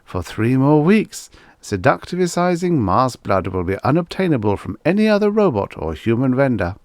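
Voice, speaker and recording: A man's voice: mid-range voice, big pitch swings, normal speed, darkish tone, dry recording, voice pushed up. A man voicing a persistent, chirpy robot salesman in a storybook reading, delivering emphatic sales patter.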